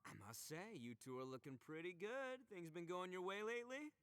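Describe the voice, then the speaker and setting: A man's voice: sits high, animated, a little fast, fairly bright tone, smooth. A man playing a boastful, boisterous, goofy young gang boss in an anime video game, with theatrical, blustering delivery. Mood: proud, bragging.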